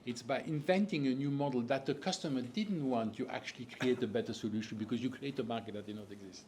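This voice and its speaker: A man's voice: mid-range voice, fast talker, darkish tone, slight background noise. A man speaking on a panel, stumbling and repeating words as he searches for them. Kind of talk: panel discussion.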